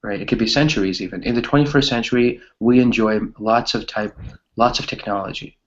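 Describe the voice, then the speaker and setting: Through dull, lo-fi audio, a man of medium pitch teaches English grammar. He explains with simple examples and encourages his students. He often ends a phrase with a brief checking question. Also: a little fast. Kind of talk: online group grammar lesson, explaining with examples.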